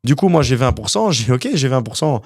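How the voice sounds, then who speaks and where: A young man from Canada, a guest entrepreneur telling his story in a casual interview, animated and full of fillers. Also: medium pitch, brisk, no room sound, studio quality. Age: young adult.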